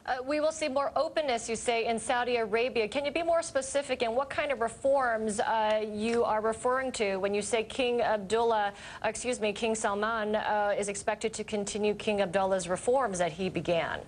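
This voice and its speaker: A woman anchoring live news and interviewing in polite, measured phrases. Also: on the high side, steady tone. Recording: faint background noise.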